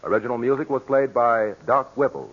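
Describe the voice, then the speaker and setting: A man's voice: medium pitch, a little fast, loud music behind the voice. A man reading scripted closing credits as a radio announcer in 1940s style. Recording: dull vintage 1940s radio recording.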